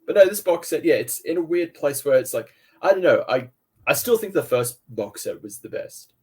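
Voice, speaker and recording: A man's voice: fairly high pitch, sing-song, normal speed, a little mellow, breathy edge. Enthusiastic Australian man reviewing audio dramas. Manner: enthusiastic, with frequent restarts. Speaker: podcast co-host. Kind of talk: casual review chat.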